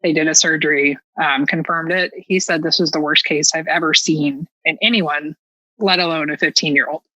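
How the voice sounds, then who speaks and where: A woman's voice: low voice, fairly even intonation, moderate pace, pushed and bright, no echo, poor audio. A middle-aged American woman telling personal stories as a podcast guest, casual and candid, with a sarcastic, self-mocking streak and a habit of acting out dialogue.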